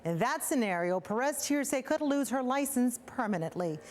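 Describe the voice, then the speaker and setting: Higher-voiced woman reporting TV news. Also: lively intonation, moderate pace, darkish tone. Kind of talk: scripted news report narration.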